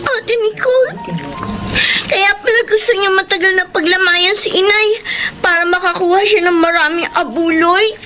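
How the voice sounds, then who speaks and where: Radio drama child role: an indignant, tearful youngest sister, squeakily high, over music. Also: female voice, normal speed, sharp tone. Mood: tearful indignation. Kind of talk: Filipino radio drama acting.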